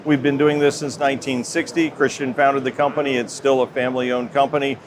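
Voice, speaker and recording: A middle-aged American man answering interview questions as a company executive in measured corporate talk. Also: medium pitch, little pitch movement. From United States. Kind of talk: trade show interview. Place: trade show floor. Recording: noisy show-floor ambience.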